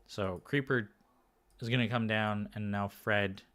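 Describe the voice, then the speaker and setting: A man with a somewhat low, slightly hoarse voice talks through a card game match by himself. He keeps it relaxed and loose, pausing often with "uh" and "um" and restarting sentences as he thinks aloud. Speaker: game commentator and podcast host. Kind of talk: solo casual match commentary.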